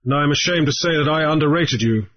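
A man voicing a calm, grave male sea captain with a dry wit, who speaks in a measured way. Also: a little high, muffled recording, pitched above the performer's own voice, close to an everyday voice.

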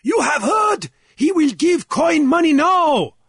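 Man acting a high, halting, dogged objector. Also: sing-song, a bit bright, slightly rough, dry recording, studio quality, exaggerated voice. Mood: loud, demanding. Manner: halting, spluttering, slow and insistent. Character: flustered, halting, dogged man challenging the chancellor.

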